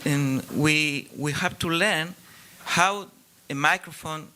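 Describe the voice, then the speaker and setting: A man from Chile with a Chilean Spanish accent, a non-native speaker asking a question from the audience, halting and searching for English words. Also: fairly high pitch, pushed and bright, a little hiss or hum. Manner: hesitant, searching for English words, many restarts. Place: large hall, audience Q&A.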